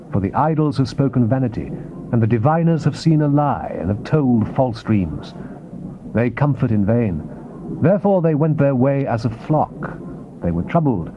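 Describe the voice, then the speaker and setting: A man giving a solemn, theatrical dramatized reading of the King James Bible in a declamatory style. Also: medium pitch. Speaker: scripture narrator. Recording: noisy old cassette tape, dull muffled sound.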